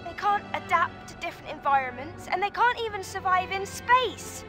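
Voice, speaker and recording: A woman's voice: very high voice, lively intonation, moderate pace, over music. An actress playing an earnest, emotional young female android warrior in a video game. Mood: indignant. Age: young adult. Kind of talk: video game cutscene acting.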